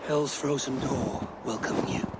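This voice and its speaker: An actor playing a stern, relentless Viking warrior in a video game, speaking in a solemn, measured way. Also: male voice, mid-range voice, very noisy, natural voice. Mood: cold, solemn. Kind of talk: dramatic video game acting.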